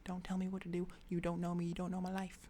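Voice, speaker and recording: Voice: mid-range voice, monotone, quick pace, background noise, natural voice. A whispering storyteller voicing a little neighbor boy who is cheeky at first and then friendly. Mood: defiant.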